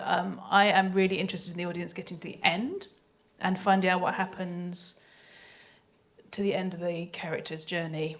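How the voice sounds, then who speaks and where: A woman's voice: mid-range voice, creaky. A woman answering in an unscripted panel discussion, tentative and thoughtful, feeling her way through her points. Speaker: audio drama maker on a panel.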